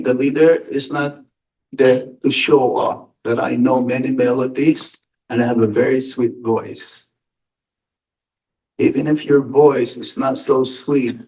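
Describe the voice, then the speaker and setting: A man speaks as a monk in a question-and-answer lecture, slow and deliberate, stressing his key words. His voice sits at a middle pitch and is caught by a distant mic in a hall. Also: a little mellow. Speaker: monk giving a spiritual talk.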